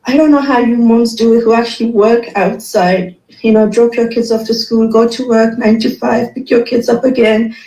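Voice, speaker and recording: A woman's voice: on the high side, steady tone, moderate pace, hardly any pauses, dark tone, roomy sound, low-quality recording. A woman from Afghanistan, a mother, speaking earnestly to other mothers and listing her daily chores one by one as she vents. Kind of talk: personal monologue to other mothers.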